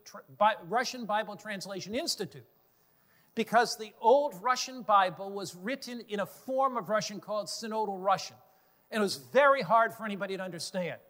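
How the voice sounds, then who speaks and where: A man's voice: high-pitched, pushed and bright. A man preaching a sermon in an earnest, emphatic way, building on repeated phrases. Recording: long hall reverb.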